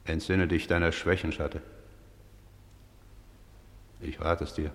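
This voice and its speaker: Radio drama role: judge of the dead, low and level, measured, stern. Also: male voice, little pitch movement, full character voice. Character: stern male judge of the dead, counselling a shade. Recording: long hollow reverb.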